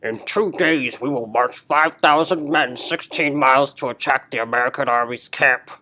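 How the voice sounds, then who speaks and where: Woman playing a low-voiced Revolutionary War officer. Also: moderate pace, bright, forceful tone, low-quality recording. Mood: confiding, official. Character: male army officers of the Revolutionary War, commanding. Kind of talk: amateur comic read-aloud with character acting.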